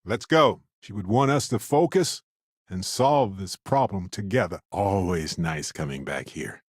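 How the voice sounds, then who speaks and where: Expressive, lively male space explorer. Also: sits low, unhurried, no echo, studio quality. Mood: easygoing. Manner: conversational, expressive, reflective. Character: warm, talkative male space explorer companion, earnest and wry. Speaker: video game voice actor. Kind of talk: video game companion dialogue lines.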